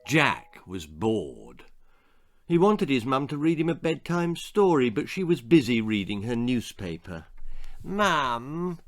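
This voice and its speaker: A male author narrates a children's tale with theatrical flair. His pitch swings widely, and he takes his time over each phrase. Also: sing-song, a little slow. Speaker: author reading his own story. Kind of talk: expressive children's storytelling, scripted narration.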